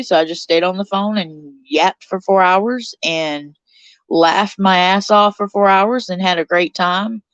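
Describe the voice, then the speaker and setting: A woman's voice: on the low side, a bit bright, clear, resonant tone, dry recording, muffled recording. Chatty stuffed-up woman streaming from bed. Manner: chatty, reads out viewer comments. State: stuffed up from sinuses. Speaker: live stream host. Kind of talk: casual live stream chat. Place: cold bedroom under a blanket.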